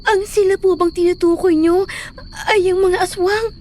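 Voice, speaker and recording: An actress playing a frightened, questioning young bride-to-be in a Filipino horror audio drama. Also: female voice, very high voice, steady tone, moderate pace, darkish tone, slight background noise. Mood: fearful. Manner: anxious, questioning.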